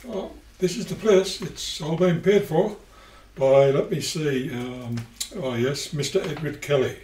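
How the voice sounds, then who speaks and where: An actor playing a hesitant, deferential driver in an amateur radio play. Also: male voice, on the high side, unhurried, darkish tone, close to an everyday voice. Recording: roomy, distant amateur recording with faint hiss.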